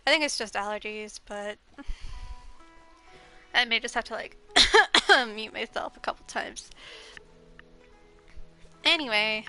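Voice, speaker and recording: A young woman with a fairly high, very bright voice, a little congested today, chatting loosely into a headset as she streams a game. She rambles with lots of ums and little laughs. Faint game music sits behind her. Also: takes it slowly, pauses often, clear, resonant tone. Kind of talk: casual gameplay live stream chat.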